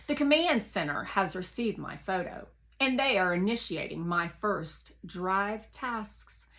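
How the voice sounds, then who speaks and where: Woman as curious Mars rover robot, earnest, precise, slow and measured, bright tone, storybook narration. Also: medium pitch, animated. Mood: earnest, focused.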